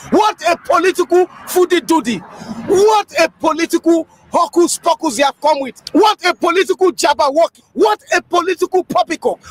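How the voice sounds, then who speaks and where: A man's voice: extremely high pitch, a bit bright. A man declaiming bombastic political oratory, stacking long obscure words with emphatic repetition.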